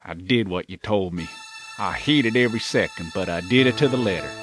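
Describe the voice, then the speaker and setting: An actor playing an earnest, conciliatory estranged husband in an audio soap drama. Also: male voice, low-pitched, a little fast, music in the background, lightly acted. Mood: reluctant, resentful. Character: estranged husband, outwardly conciliatory, secretly compliant schemer.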